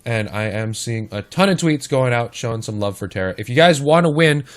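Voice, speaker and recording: A man hosting a live esports stream, casting matches in casual chat and talking straight to the viewers, his voice a little hoarse. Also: mid-range voice, expressive, brisk. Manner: chatty, talks straight to the chat. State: slightly hoarse. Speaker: esports caster and stream host.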